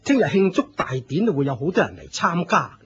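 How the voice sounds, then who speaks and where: An actor playing a proud, jealous fire-sect leader in a Cantonese radio drama, with a pompous, theatrical manner and a Hong Kong accent. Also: male voice, a little high, huge pitch swings, brisk, fairly bright tone, husky, exaggerated voice. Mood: troubled, evasive. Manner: pompous, theatrical, shifting from courteous to scornful. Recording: dull lo-fi radio drama recording.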